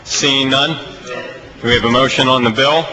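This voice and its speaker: A man's voice: medium pitch, steady tone, normal speed, pushed and bright, rough recording. An American man presiding as chair over a legislative committee hearing.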